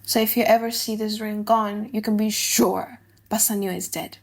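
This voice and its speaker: A woman playing an ardent young gentleman suitor overwhelmed by love, in a dramatized audiobook. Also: medium pitch, flat delivery, moderate pace, natural voice. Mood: solemn. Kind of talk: dramatized audiobook of a classic play.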